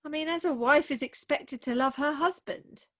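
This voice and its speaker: A woman playing a prim, excitable young Victorian bride in a comic play, with an English accent and emphatic, theatrical delivery, recorded at home over a video call. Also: sits high, moderate pace. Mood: prim, firm. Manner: theatrical, emphatic, indignant propriety. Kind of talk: comic stage-play acting.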